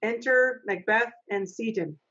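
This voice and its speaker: A woman's voice: on the high side, unhurried, fairly bright tone, slightly rough. A middle-aged woman acting as stage manager, reading stage directions and plainly instructing readers in an informal way. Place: small home office. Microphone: video call, computer microphone. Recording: dull, lo-fi video call audio.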